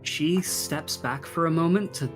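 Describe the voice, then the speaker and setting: A man narrating a tabletop game as game master, dramatic and deliberate, with long pauses. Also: on the high side, music in the background.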